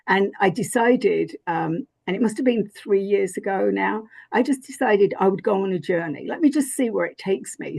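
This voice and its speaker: A woman's voice: medium pitch, creaky, a little mellow, breathy edge. An older woman with an English accent, chatting as a podcast guest with lots of fillers and restarts. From England. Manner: rambling, many fillers and restarts. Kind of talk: casual interview chat.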